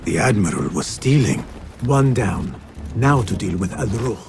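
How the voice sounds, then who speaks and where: Casting: male actor as a cool young assassin, low gravelly voice with lively pitch, music underneath. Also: measured pace, slight background noise. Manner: dry, quiet, understated remarks. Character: calm, cold assassin protagonist, young man. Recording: game audio with music behind.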